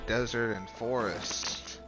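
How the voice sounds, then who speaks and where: A man's voice: mid-range voice, fairly even intonation, slow pace. A man giving casual playthrough commentary as a gaming streamer. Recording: loud game music behind. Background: game music.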